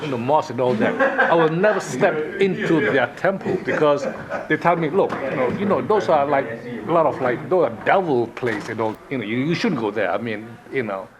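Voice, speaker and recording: A man's voice: medium pitch, animated, fairly quick, a crowd in the background. Hesitant Indonesian man in a class. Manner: hesitant, many "you know" fillers. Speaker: student.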